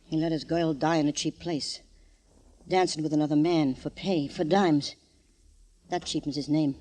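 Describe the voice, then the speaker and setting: A woman's voice: deep voice, fairly even intonation, moderate pace, a little mellow. An actress playing a hard, domineering older mother of a gangster, scolding and sardonic, in an old radio crime drama. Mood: cool, bitter.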